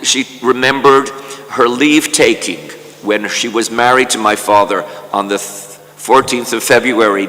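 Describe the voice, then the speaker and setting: An older man lectures at a conference in a slow, deliberate way, circling back with repeated words before finishing each point. His voice has a bright, forceful edge. The sound carries a long reverb from the conference hall. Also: medium pitch. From Canada. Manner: slow and measured, often repeating words as he restarts. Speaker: conference speaker. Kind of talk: academic lecture.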